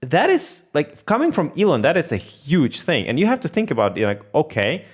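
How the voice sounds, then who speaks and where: Excitable man. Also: a little high, fairly quick, slightly rough. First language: Russian. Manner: enthusiastic, rambling rants. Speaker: podcast host. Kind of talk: casual podcast chat.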